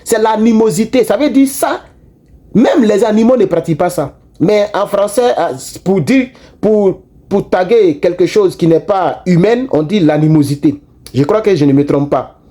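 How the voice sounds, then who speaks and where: A man giving emphatic, repetitive spoken commentary to his followers, his pitch jumping up on key words. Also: warm tone. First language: an African national language. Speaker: online political commentator.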